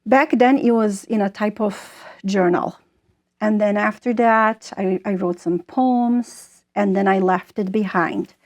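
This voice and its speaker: A woman in her forties from Bosnia, speaking English, answering as an author in an interview with thoughtful pauses and fillers. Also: medium pitch, darkish tone. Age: 40s. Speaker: author and guest.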